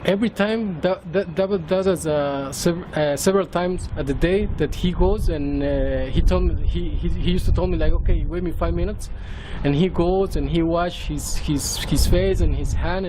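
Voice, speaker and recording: A man in his thirties with a Mexican Spanish accent gives a personal testimony in English, hesitant, with many fillers and restarts. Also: on the high side. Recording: outdoor traffic noise behind.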